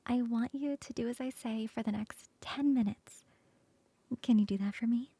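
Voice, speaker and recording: A woman voicing a flirty female coworker in an ASMR roleplay, close to the mic in a soft, playful whisper. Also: on the high side, normal speed, breathy edge, dry recording. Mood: teasing whisper.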